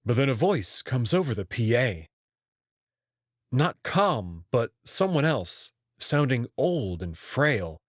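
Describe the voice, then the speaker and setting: Lively man reading a story aloud. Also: mid-range voice, slow pace, no room sound, clean studio sound. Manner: expressive first-person reading, with outbursts. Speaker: visual novel reader. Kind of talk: reading a visual novel aloud.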